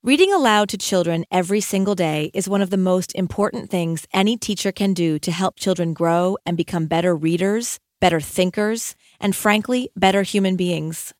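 A woman's voice: lowish voice, moderate pace, hardly any pauses, no room sound, clean studio sound. A middle-aged woman narrating an audiobook as an educator, in an earnest, articulate manner. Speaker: educator and author reading own audiobook.

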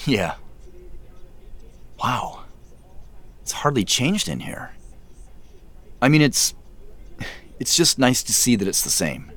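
An actor playing a polite, hesitant man in naturalistic audio drama dialogue, speaking haltingly. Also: mid-range voice, big pitch swings, fairly bright tone, heavily acted. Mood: casual. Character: Joseph, polite, hesitant man visiting old friends.

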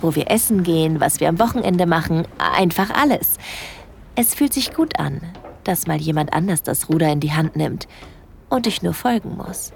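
A woman playing a shy yet bold young cruise guest in an intimate audio drama, speaking softly and hesitantly. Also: low-pitched, lively intonation, moderate pace, creaky, slight background noise. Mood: intimate musing.

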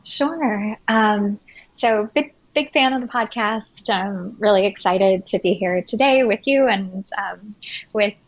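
A woman from the United States, a podcast guest, talking in a friendly, earnest way with many ums and pauses. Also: mid-range voice, highs cut off. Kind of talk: casual interview talk.

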